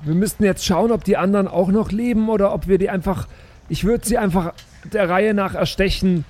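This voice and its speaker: A man's voice: high-pitched, a little fast, a little mellow. A man talking casually as a player in an improvised tabletop role-playing game.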